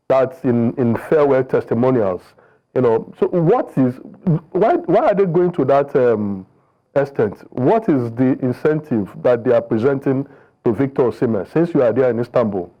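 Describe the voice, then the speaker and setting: A male sports show co-host puts a long question to the guest, halting and restarting along the way. His voice is mid-pitched, and the sound is dull and muffled. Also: animated. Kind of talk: broadcast sports talk.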